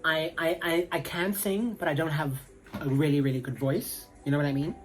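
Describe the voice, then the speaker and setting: A man's voice: fairly high pitch, steady tone, normal speed, faint music behind. A young man with a South African accent, chatting casually to camera in a rambling way full of fillers. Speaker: vlogger.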